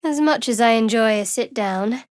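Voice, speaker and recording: Woman acting a guarded, dry-witted young cleric, higher-pitched. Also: no room sound, lightly acted. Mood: dry, sardonic. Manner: measured, dry, wry. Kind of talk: fantasy role-playing game voice acting.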